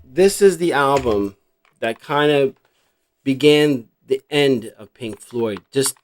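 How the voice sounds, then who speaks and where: A middle-aged American man with a mid-pitched voice hosts in a casual, unscripted way. He rambles reflectively, thinking out loud as he goes. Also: lively intonation, takes it slowly, soft background music. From New Jersey, United States. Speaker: host showing a cassette collection. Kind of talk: casual unscripted vlog chat.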